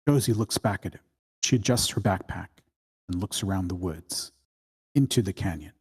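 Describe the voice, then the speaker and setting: Husky, lowish-voiced man narrating a screenplay. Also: normal speed. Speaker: narrator of stage directions. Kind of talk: scripted screenplay narration at a table read.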